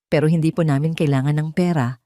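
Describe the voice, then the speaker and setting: Woman playing a pleading, dignified mother, low voice. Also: fairly even intonation, quick pace, warm tone, no room sound, studio quality, natural voice. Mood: firm, proud. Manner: pleading, restrained. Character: distressed, dignified poor young mother, pleading yet firm.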